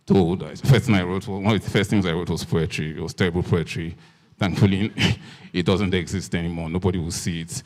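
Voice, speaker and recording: Nigerian guy, very deep voice, writer on a panel, stops and restarts a lot. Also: a little mellow. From Nigeria, Port Harcourt. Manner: halting, many restarts and ums. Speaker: author on a panel. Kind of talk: festival panel conversation. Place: festival event hall.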